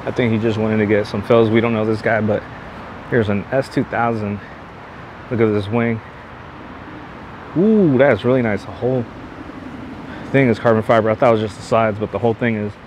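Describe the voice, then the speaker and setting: A man's voice: on the low side, normal speed, pauses often, rough recording. A man from the San Francisco Bay Area narrating a casual driving vlog with his friends, laid-back and laughing often, recorded in a convertible on the road. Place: in a convertible car on the road, outdoors. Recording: loud road and engine noise.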